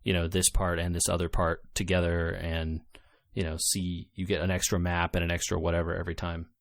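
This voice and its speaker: A man's voice: low-pitched, level tone, brisk, creaky, dry recording, studio quality. A man co-hosting a podcast, explaining things in an informal, enthusiastic way in casual chat about video games. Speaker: podcast co-host reviewing games.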